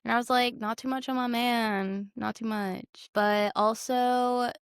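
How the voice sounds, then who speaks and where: A woman's voice: on the high side, steady tone, slow, clean tone, no room sound, clean studio sound. A woman co-hosting a podcast, talking casually about a film in a blunt, slangy, informal manner. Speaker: podcast co-host.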